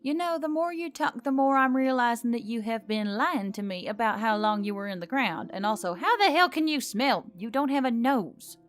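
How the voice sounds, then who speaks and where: A woman voicing a stubborn, sharp-witted young heroine in a fantasy audiobook, with a probing, sarcastic manner. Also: fairly high pitch, animated, normal speed, hardly any pauses, soft background music, lightly acted.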